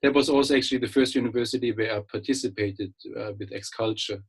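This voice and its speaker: Husky-voiced German man lecturing. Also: mid-range voice, quick pace. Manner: hesitant, many fillers and restarts, friendly. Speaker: guest speaker, business lecturer. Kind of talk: webinar talk. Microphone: computer mic on a video call.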